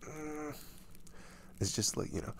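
Man playing a slow, soft, creaky-voiced doting boyfriend. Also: on the high side, a little hiss or hum. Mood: playful, affectionate. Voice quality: soft, breathy, often near a whisper. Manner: halting, teasing, tender. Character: affectionate, dorky, reassuring young boyfriend. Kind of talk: ASMR boyfriend roleplay, intimate and conversational.

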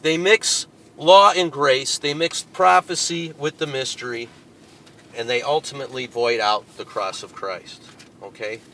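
A male preacher reads passages aloud and tears into them with emphatic, scolding force. His voice has a bright, forceful edge. He swings from loud outbursts to low, muttered asides over a faint background hiss. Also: unhurried. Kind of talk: fiery sermon reading and rebutting a tract.

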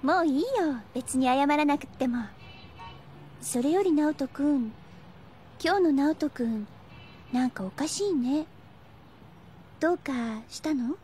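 An actress playing a sweet, innocent high school girl with a gentle, girlish manner in a Japanese audio drama. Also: measured pace, pauses often, a little hiss or hum. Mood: puzzled.

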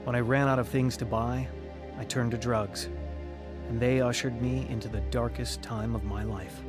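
Radio drama lead, male actor: skeptical young man, medium pitch, confessional and wry, over clear background music. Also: steady tone, moderate pace, lightly acted. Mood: reflective. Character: searching, skeptical young man narrating his troubled past. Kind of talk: radio drama acting and narration.

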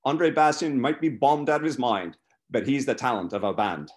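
A man voicing a brash, chatty young bass player from a novel, bossy and jovial. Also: moderate pace, close to an everyday voice.

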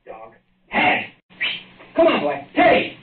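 An actor playing an odd, secretive small-town American plumber who talks in a folksy, understated way, in old-time radio drama style. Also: male voice, extremely high pitch, huge pitch swings, takes it slowly, exaggerated voice. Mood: urgent calling. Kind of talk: 1940s radio drama acting. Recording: muffled, noisy old radio recording.

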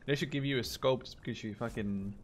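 Excitable young gamer man. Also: medium pitch, fairly quick, a little hiss or hum. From Netherlands. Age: 20s. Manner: excitable, swears and shouts at big moments. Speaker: gaming YouTuber. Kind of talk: casual gameplay chat. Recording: faint game gunfire behind.

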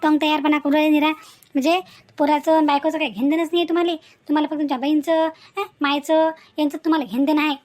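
Cartoon young village wife, played by a woman: very high, fast, scolding and scheming, rural Vidarbha Marathi accent, piles up rhetorical questions. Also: a little mellow, lightly acted. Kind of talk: Marathi cartoon family-drama dialogue.

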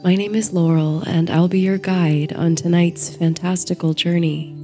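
A woman narrating a bedtime sleep story and guided meditation in a soothing, gentle, hushed and measured way. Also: on the low side, little pitch movement, over music.

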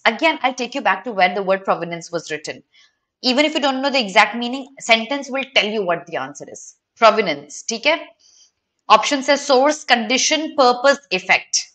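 Bright-voiced young Indian woman teaching. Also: fairly high pitch, animated, fairly quick. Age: young adult. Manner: instructional, mixes Hindi into English. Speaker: exam prep teacher. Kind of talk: online lesson, talking to live students.

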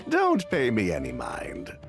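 An actor voicing a jovial, smooth-talking middle-aged perfume merchant in a video game, hearty and theatrical. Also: male voice, fairly high pitch, wildly swooping pitch, slow, soft background music, exaggerated voice. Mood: affable, musing. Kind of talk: English game voice acting.

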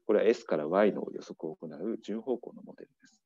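A middle-aged man with a very low, mellow voice delivers an academic keynote over slides, pausing often and filling gaps with hesitation sounds. The sound is dry and a little dull, like a remote talk recorded at a desk. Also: breathy edge, no room sound. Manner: measured, frequent fillers and pauses. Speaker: keynote speaker. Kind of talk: academic conference lecture. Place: indoor room, video talk over slides.

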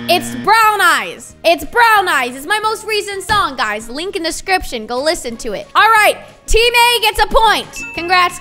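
A woman's voice: sits high, bright, forceful tone. A young woman, hosting a multiplayer game in a bossy, playful way and repeating herself. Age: young adult. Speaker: game host.

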